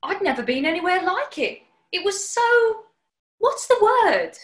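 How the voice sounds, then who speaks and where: A woman's voice: very high-pitched, big pitch swings, unhurried, reverberant, heavily acted. A woman playing a plucky, naive English housemaid with an English accent in a 1940s-style radio comedy. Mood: wide-eyed, wondering.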